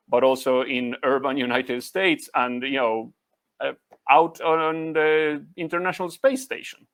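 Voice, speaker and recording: Middle-aged argentine guy w/ spanish-speaker accent giving a talk, mid-pitched voice, tells it like a story. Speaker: guest speaker, humanitarian researcher. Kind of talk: conversational presentation with storytelling.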